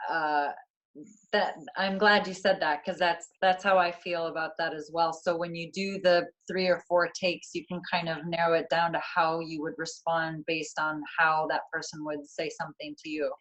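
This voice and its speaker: Lowish, even-toned woman in casual chat. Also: moderate pace, poor audio. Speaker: amateur voice actor.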